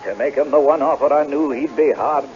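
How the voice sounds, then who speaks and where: A man's voice: on the high side, fairly quick, natural voice. An actor in a radio drama playing a hard-drinking, nervous Scottish tenant farmer who wheedles and stammers as he tells his tale. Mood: wry storytelling. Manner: stammering and blustering, folksy. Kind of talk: radio drama acting and narration. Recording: muffled, noisy old radio broadcast.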